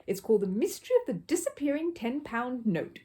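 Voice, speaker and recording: A woman's voice: a little high, sing-song, brisk, warm tone, pitched above the performer's own voice. A woman voicing a young male storybook bear, polite, earnest, hesitant and a bit hapless. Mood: earnest, doubtful.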